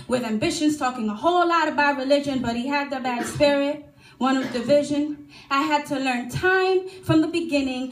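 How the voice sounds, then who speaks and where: Young female poet, high pitch, dramatic storytelling recitation, live church echo. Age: young adult. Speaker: spoken word poet at a church youth service. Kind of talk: storytelling spoken word testimony with rhymes. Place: church hall during a live service. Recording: echoey live church recording.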